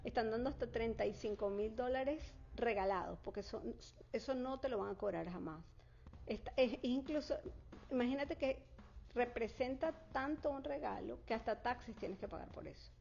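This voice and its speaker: A woman's voice: fairly high pitch, normal speed, vocal fry, darkish tone. A woman working as a real estate consultant, answering interview questions fluently with frequent fillers and restarts. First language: Spanish. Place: Florida. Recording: dull lo-fi sound.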